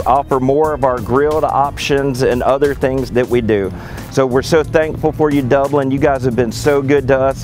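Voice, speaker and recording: Folksy man over soft music. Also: mid-range voice. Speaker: local restaurant advertiser. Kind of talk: friendly promotional spot.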